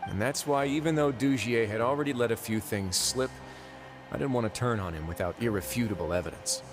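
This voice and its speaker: An actor playing a composed, fair-minded duke and prison warden in a video game, measured and dryly wry. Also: male voice, medium pitch, natural voice. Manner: measured, deliberate, dryly playful. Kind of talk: video game character dialogue. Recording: game music behind the voice.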